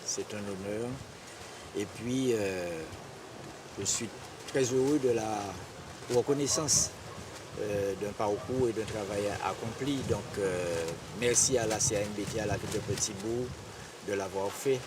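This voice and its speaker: Guadeloupe guy answering an interview slowly feeling for words, mic a bit far, noisy outside. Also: lowish voice. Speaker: water sports figure honoured at an inauguration. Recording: distant mic, noisy outdoor ambience.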